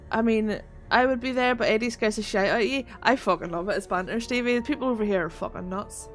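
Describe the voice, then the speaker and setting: A woman with a rural Northern Irish accent chatting on a gaming livestream, swearing freely and laughing often as she reads chat. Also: mid-range voice. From Northern Ireland. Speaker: streamer.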